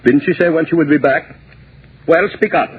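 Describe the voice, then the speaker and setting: An actor playing an older, jealous maestro husband in a 1940s radio drama, formal, curt and strained. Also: male voice, a little high, normal speed, slight background noise, natural voice. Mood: irritable, commanding. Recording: tinny 1940s radio recording.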